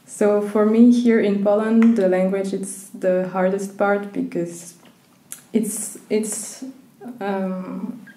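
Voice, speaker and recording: A woman's voice: mid-range voice, reverberant, poor audio. A woman co-hosting a podcast in casual conversation, speaking English hesitantly with repeated restarts. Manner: slow, flat, hesitant. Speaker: podcast co-host.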